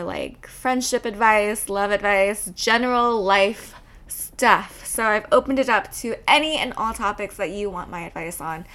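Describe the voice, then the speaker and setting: A woman's voice: mid-range voice, fairly bright tone. A woman hosting her podcast, chatting casually with lots of filler words and the odd swear. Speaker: podcast host.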